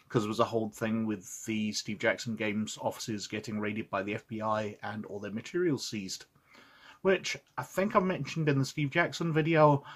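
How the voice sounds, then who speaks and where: A man's voice: medium pitch, fairly bright tone. A man with a head cold, sniffly, hosting a casual review of an old roleplaying game book and reading its text aloud, with chatty asides and frequent "uh"s. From Scotland.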